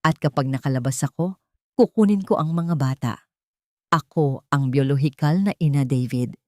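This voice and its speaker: A woman's voice: sits low, mellow, no echo. A woman plays a greedy, scheming wealthy wife in an audio drama. She is taunting, defiant and full of venom, threatening whoever crosses her. The sound is dry and close. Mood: furious, threatening. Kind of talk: Tagalog audio drama acting.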